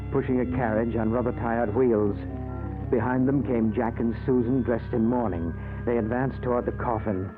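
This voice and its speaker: An actor playing a dazed, newly dead man in a 1940s radio suspense drama, with a dramatic, halting, anguished delivery. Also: medium pitch, narrow, tinny audio, close to an everyday voice. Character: dazed, panicked newly dead man narrating his own afterlife.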